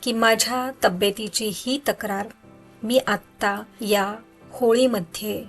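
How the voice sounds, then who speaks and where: A woman leading a guided meditation in a soothing, instructional way, leaving pauses between phrases. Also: mid-range voice, steady tone. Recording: background music under voice. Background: music.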